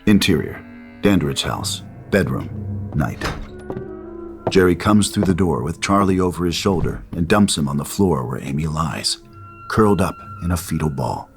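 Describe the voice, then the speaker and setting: A man's voice: rumbling low, expressive, moderate pace, gravelly, soft background music. A man reading stage directions as the narrator of a dramatic screenplay reading.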